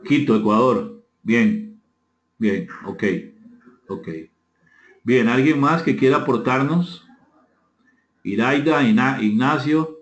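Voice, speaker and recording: A Costa Rican man with a medium-pitched voice presents an interactive online seminar. He is courteous and conversational, often repeating phrases as he invites participants in. The sound is dull and echoey, as from a reverberant room. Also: slow pace, pauses often. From Costa Rica. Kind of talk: interactive webinar talk, reading out chat comments. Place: indoor room, online session.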